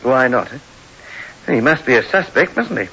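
An actor playing a brisk, suspicious police inspector in an old radio drama, asking probing, insinuating questions. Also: male voice, mid-range voice, sing-song, pushed and bright, breathy edge, full character voice. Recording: hissy, muffled 1960s radio recording.

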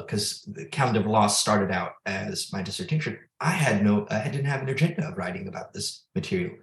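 A man who grew up in Ethiopia, an author speaking slowly and thoughtfully as a guest in an interview. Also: lowish voice, roomy sound, rough recording. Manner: slow, thoughtful, with frequent restarts. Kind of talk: reflective interview answer.

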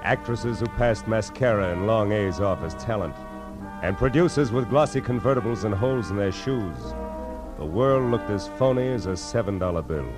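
Male actor as tough private eye, low voice, wry, world-weary, vintage radio noir, music behind. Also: natural voice. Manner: wry, terse, tough-talking. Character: hard-boiled world-weary private detective, kind to kids. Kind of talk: 1940s radio drama, first-person noir narration.

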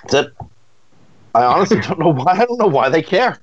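A man's voice: fairly high pitch, big pitch swings, a little fast, husky, lo-fi sound. A man co-hosting a casual talk show, explaining things with playful, jokey asides. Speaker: podcast co-host. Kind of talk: casual chat.

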